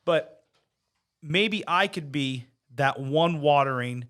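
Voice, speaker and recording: A man's voice: fairly high pitch, slow, a bit bright. A man speaking earnestly in a casual religious discussion, often checking that listeners follow him. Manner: earnest, checks in with "you know what I mean". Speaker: faith podcast panelist.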